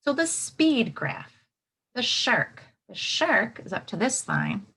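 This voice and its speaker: A woman teacher reading aloud to young children in an expressive way, pausing to add asides and prompt them with questions. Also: on the high side, a little hiss or hum. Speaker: elementary school teacher.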